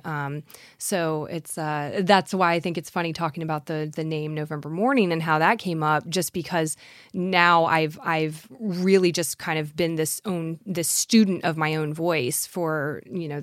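A woman's voice: low-pitched, no echo, clean studio sound. A woman singer and guest in a casual interview, recovering from a cold and rambling with many fillers and laughs. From Washington DC area. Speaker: singer in an acoustic duo, guest. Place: studio.

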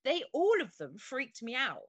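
A woman speaking as an interview guest, telling her own story in a halting, heartfelt way with frequent restarts. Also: sits high, huge pitch swings, slightly rough, no room sound, muffled recording. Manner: halting, stops and restarts often, open and heartfelt. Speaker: interview guest, fitness studio owner. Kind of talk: candid personal interview.

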